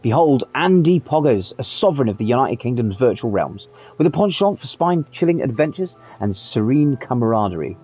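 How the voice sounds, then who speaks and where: Male announcer, pompous, theatrical, mellow husky voice, faint music. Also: mid-range voice, sing-song, a little fast. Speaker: raid shoutout reader. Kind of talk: grandiose scripted announcement.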